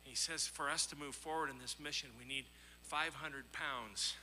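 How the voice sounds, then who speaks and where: A man's voice: fairly high pitch, steady tone, normal speed, pushed and bright, slight background noise, poor audio. A man preaching a sermon in an earnest, emphatic way, as he reads scripture and tells illustrative stories. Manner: earnest, emphatic, with rhetorical questions and repetition. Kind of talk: sermon with scripture readings and anecdotes.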